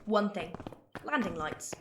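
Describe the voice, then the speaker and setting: A woman playing a quick-witted, bold alien time traveller in an audio drama, wry, brisk and flippant. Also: medium pitch, expressive, noisy background, natural voice. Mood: guarded. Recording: roomy echo, loud music behind.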